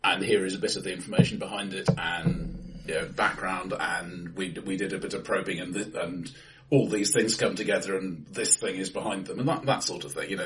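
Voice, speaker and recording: A middle-aged or older man with an English accent, co-hosting a casual film discussion in a halting, wry way in a live-sounding room. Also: sits low, fairly bright tone, distant mic, poor audio. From England. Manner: halting, wry, repeats word starts. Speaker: podcast co-host. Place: indoor room, recorded face to face.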